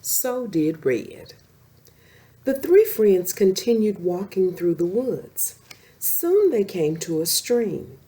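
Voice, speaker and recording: Female children's storyteller, mellow tone, unhurried delivery, lively expressive read for bedtime audiences. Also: mid-range voice. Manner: animated, slow-paced reading addressed to young children.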